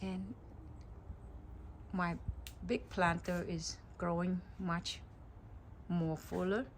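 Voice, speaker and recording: A middle-aged woman from Cambodia giving a relaxed, chatty tour of her backyard garden, outdoors on a phone mic. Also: lowish voice, very slow, a little hiss or hum. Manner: relaxed, chatty, simple phrasing. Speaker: gardening vlogger.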